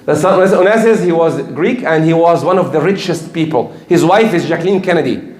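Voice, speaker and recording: A man's voice: on the high side, a little fast, a little mellow. An older man preaching a sermon in English, emphatic and repeating his key points. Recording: echoey room.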